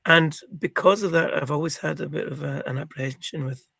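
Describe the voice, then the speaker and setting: Croaky-voiced middle-aged man, rambling. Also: on the high side, fairly even intonation, brisk, bright, forceful tone, dry recording, clean studio sound. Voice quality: croaky, gravelly voice. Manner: rambling, many ums and restarts. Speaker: interview guest, podcaster. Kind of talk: casual interview chat.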